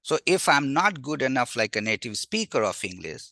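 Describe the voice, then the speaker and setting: A man with an Indian accent and Magahi as his native language gives an informal academic lecture. His voice is bright and forceful, captured dry with hardly any room sound. He teaches in an animated way, putting questions to his listeners and answering them himself. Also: mid-range voice, normal speed, no echo. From Bihar, India. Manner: animated, asks and answers own rhetorical questions. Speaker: university language teacher, invited webinar speaker.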